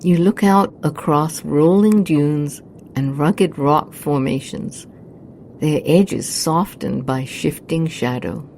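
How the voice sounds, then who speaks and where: A woman narrating a scripted guided meditation in a soothing, hushed, unhurried manner. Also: low-pitched, slight background noise.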